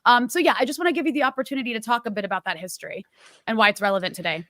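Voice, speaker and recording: A woman's voice: a little high, very fast, a bit bright, dry recording, clean studio sound. A woman in her thirties hosting an interview, talking in an emphatic, impassioned way. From United States. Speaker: host.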